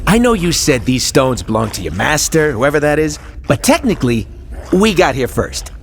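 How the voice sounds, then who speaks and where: An actor playing a cocky, wisecracking space outlaw in a superhero video game, talking in informal slang. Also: male voice, on the high side, big pitch swings, moderate pace. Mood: cocky bargaining. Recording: music under the voice.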